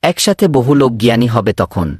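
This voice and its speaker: A man's voice: mid-range voice, sing-song, a little fast, breathy edge, no room sound, studio quality. A man voicing an eager village man in a cartoon, earnest and persuasive as he lays out plans. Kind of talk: cartoon voice acting.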